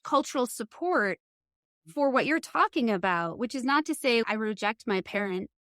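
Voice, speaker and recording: A woman's voice: fairly high pitch, animated, moderate pace, a bit bright, dry recording, clean studio sound. A woman hosting a podcast in casual, reflective conversation, thoughtfully choosing her words. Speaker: podcast host.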